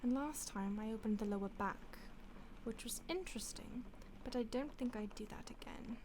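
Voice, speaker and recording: Soft-whispering female vampire played by a woman for an audio roleplay, mock-polite and savoring, slightly high voice. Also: moderate pace, noisy background, lightly acted. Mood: menacing relish. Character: polite, predatory female vampire toying with a captive.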